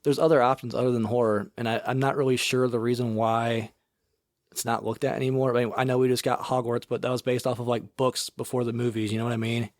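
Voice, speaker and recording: Male co-host, casual slangy banter, mid pitch, dry mic. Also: level tone, quick pace, no room sound. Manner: laid-back, slangy, agreeable. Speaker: podcast co-host. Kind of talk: casual chat.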